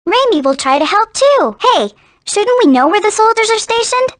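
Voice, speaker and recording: An eager, girlish helper in a video game. Her voice is extremely high and swings animatedly. She sounds earnest and excitable, on a dry recording. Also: normal speed, no echo.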